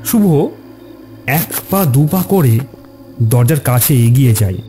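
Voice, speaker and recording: A man's voice: medium pitch, sing-song, measured pace, dark tone. A man narrating a scripted ghost story in a dramatic storytelling style. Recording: clear music bed under narration. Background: music.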